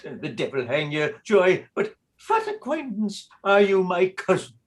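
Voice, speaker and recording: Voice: medium pitch, big pitch swings, moderate pace, close to an everyday voice. A performer voicing a flustered Irish priest who pretends to be Flemish, with a thick Irish brogue and a theatrical, wheedling delivery. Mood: blustering. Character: Irish priest posing as a Flemish chaplain, male. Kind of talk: stage-play reading.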